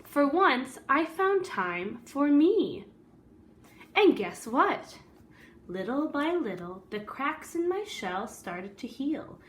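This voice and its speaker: Woman reading as an earnest storybook egg, very slow. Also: high voice, big pitch swings, slight background noise, pitched above the performer's own voice, heavily acted. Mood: hopeful, resolved. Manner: slow, deliberate, dramatic storybook delivery. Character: earnest, weary male good egg narrating his tale.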